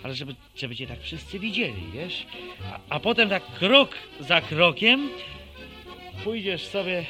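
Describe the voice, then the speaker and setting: A man's voice: high voice, very animated, measured pace, bright, forceful tone, soft background music, exaggerated voice. An actor playing a scheming, bossy young village man who cajoles and teases in colloquial rural speech in a radio drama. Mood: cajoling. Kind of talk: Polish radio drama acting.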